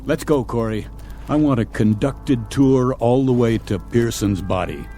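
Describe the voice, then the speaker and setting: An actor playing a stern military captain who questions a subordinate in clipped, hard commands, in a radio drama. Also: male voice, medium pitch, a little slow, mellow, close to an everyday voice. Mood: commanding. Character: stern military captain searching for lost crewman. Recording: music score behind.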